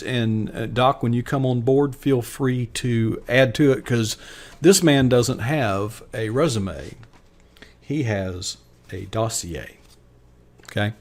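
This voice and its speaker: A male host with a mid-range voice, introducing a guest enthusiastically on a live online show. He pauses often and restarts with fillers. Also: medium pitch.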